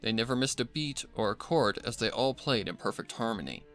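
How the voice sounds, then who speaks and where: A man's voice: medium pitch, animated, brisk, fairly bright tone, soft background music. A man reading a scripted story aloud as narrator. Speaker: narrator, fan fiction reader.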